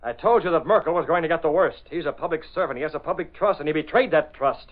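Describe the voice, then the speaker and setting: A commanding masked avenger played by a male actor from a vintage radio serial. His voice sits a little high and is clipped and deliberate. The sound is tinny and narrow, like an old broadcast. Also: lightly acted. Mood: cold, threatening. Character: masked male vigilante posing as menacing avenger, doubling as publisher. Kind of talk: 1930s-40s radio drama acting. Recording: tinny, band-limited old radio recording.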